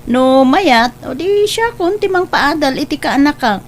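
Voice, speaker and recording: A woman playing a gentle, devoted mother. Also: high voice, animated, measured pace, slight background noise. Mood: earnest.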